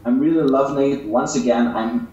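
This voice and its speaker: A man's voice: medium pitch, normal speed, mellow, muffled recording. A young man from West Flanders with a Flemish accent, a Dutch native speaker, answering interview questions politely with hesitant fillers. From West Flanders, Belgium. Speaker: interviewed YouTuber. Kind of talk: casual interview over a call. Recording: distant echoey call mic.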